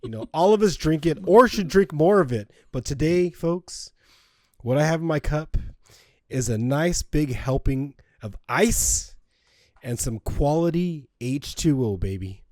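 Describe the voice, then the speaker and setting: A man co-hosting a podcast in casual, rambling, jokey chat, his voice slightly hoarse from a cold. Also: on the high side, animated, unhurried, a little mellow, no room sound, studio quality. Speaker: podcast co-host.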